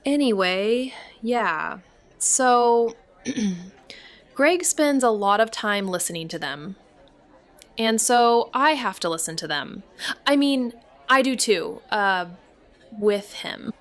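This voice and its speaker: An actress playing an awkward, evasive young niece in a naturalistic audio drama, halting and flustered as she talks. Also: fairly high pitch, expressive. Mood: halting, sheepish. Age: young adult.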